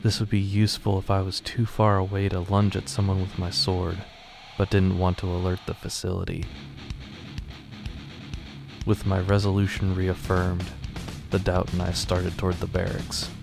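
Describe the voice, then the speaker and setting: A man narrating his own audio drama in the first person, telling it in a measured, matter-of-fact way. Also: low-pitched, monotone. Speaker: narrator and writer. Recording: music clearly behind the voice. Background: guitar music.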